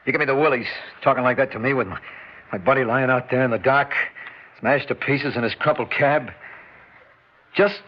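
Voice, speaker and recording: An actor playing a rough, grieving and angry truck driver in a 1950s radio drama. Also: male voice, mid-range voice, moderate pace, pauses often, lightly acted. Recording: dull old radio recording.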